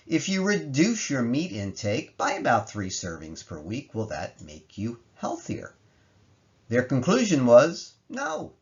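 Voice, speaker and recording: Animated low-voiced man giving a lecture. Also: highs cut off. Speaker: presenter, lecturer. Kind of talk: slide lecture explaining research in plain language. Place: voice-over recorded over slides.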